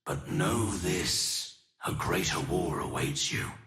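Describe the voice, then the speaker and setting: An actor playing a solemn, noble immortal fallen warrior in a fantasy game, declaiming his lines with deliberate gravity. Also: low voice, a bit bright, roomy sound. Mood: stern warning. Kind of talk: theatrical fantasy game voice acting.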